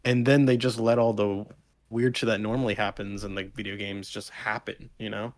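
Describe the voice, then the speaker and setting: A man's voice: fairly low pitch, flat delivery, moderate pace, dry recording. A man co-hosting a podcast in casual discussion, speaking informally with plenty of slang. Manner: informal, slangy, occasional swearing. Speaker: podcast co-host.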